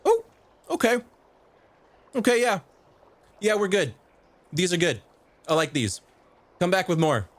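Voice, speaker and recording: A sly, greedy little water creature, played by a man at a game table. The voice sits well above an everyday male pitch. It haggles and stumbles over its words when flustered. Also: big pitch swings, measured pace, pauses often. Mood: pleased, greedy. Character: small sly kappa, turtle-like water creature, greedy, grudgingly impressed.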